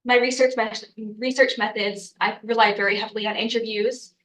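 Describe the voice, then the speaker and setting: A woman's voice: a little high, fairly even intonation, moderate pace, a bit bright, echoey room, far from the mic. A young adult woman, congested from a cold, giving an earnest student research presentation with frequent ums and uhs. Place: lecture room.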